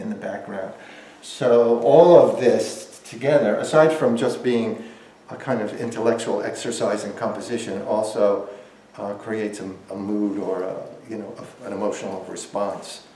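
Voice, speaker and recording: A man speaking as a photographer, explaining artworks in a measured, reflective and articulate way with thoughtful pauses. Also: lowish voice, warm tone, a little hiss or hum. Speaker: photographer explaining own exhibited work. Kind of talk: explanatory gallery talk about artworks. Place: indoor art gallery. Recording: echoey room.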